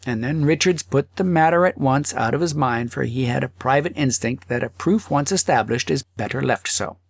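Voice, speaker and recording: Guy narrating an audiobook, expressive storytelling, bit of gravel, really dry recording. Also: medium pitch, normal speed, no room sound. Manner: theatrical, dramatizing thoughts and dialogue.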